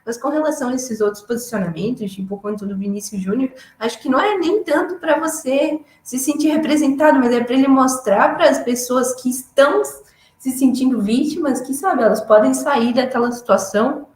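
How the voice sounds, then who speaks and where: Young female interview guest, on the high side, reflective and casual, in an echoey room. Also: a little fast, a little mellow. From Florianópolis, southern Brazil. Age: young adult. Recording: roomy, echoey room sound.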